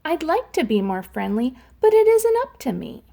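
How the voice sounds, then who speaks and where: A woman's voice: high-pitched, very animated, moderate pace, vocal fry, warm tone, voice pushed up, exaggerated voice. A woman voicing a glum male fish with a pouting face, in a sing-song rhyming delivery. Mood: gloomy.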